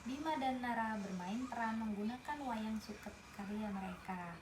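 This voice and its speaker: A woman's voice: fairly high pitch, moderate pace. A woman reading a picture book aloud, stopping to explain the story to a young listener in a chatty way. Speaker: storybook reader. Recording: roomy with noticeable background noise.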